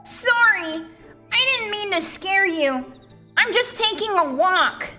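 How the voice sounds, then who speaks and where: A woman's voice: very high voice, animated, slow pace, bright, forceful tone, faint music behind, pitched above the performer's own voice, exaggerated voice. A woman voicing a naive, guileless young protagonist of a fantasy visual novel, with an airy, hesitant delivery. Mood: startled, apologetic.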